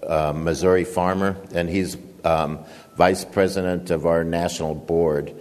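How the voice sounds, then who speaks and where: Older guy speaking at a conference, deep flat voice, lots of uh and um, echoey hall. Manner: booming, halting with many fillers. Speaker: guest speaker, nonprofit founder and director. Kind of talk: conference speech.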